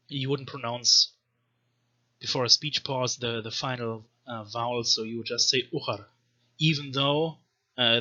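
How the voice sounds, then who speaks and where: Bright, sharp-voiced man lecturing haltingly. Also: mid-range voice, lo-fi sound. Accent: non-native Arabic accent when reading Arabic. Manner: hesitant, pausing, careful word-by-word explanation. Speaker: language teacher, presenter of a video series. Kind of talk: explanatory lecture with read-aloud text samples.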